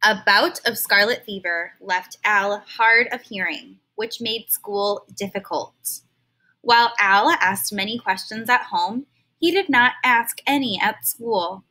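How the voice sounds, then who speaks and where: A woman reading a children's story aloud like a teacher, expressive and stopping now and then to explain words in simple terms. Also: mid-range voice, takes it slowly, rough recording.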